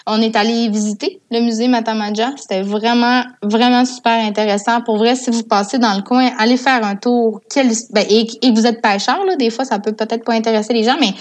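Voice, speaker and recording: A woman with a Quebec accent and a fairly high voice speaks as a guest in a relaxed interview. She tells her stories conversationally, with plenty of enthusiasm. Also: fairly quick, hardly any pauses. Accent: Quebec French. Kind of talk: casual conversational storytelling.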